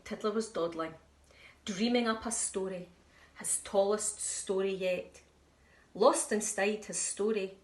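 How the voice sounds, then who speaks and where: A woman, a nursery teacher, reading a picture book aloud to young children in an expressive, sing-song way. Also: mid-range voice. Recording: echoey room.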